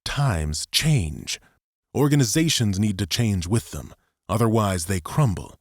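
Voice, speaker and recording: A determined male soldier acted by a man in an audiobook drama. He sounds firm, impatient and argumentative, with a fairly low voice in a very dry recording. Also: animated, measured pace, no echo.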